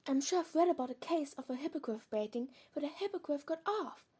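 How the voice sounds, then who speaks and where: A woman's voice: high-pitched, animated, fairly quick, warm tone. A woman playing an earnest, studious, caring teenage schoolgirl in an audio drama. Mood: earnest, worried.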